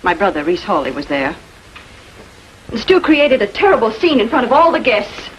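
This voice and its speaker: An actress playing a defensive, haughty estranged wife in a 1940s filmed crime drama, fiercely protective and quick to take offence. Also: female voice, a little high, sing-song. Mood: indignant. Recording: noisy old 1940s film soundtrack.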